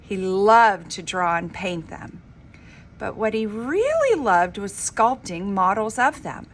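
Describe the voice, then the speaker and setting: A woman reading a children's story aloud in an expressive, dramatic, emphatic storybook manner. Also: mid-range voice, slow pace, a little hiss or hum. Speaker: reader of a children's book.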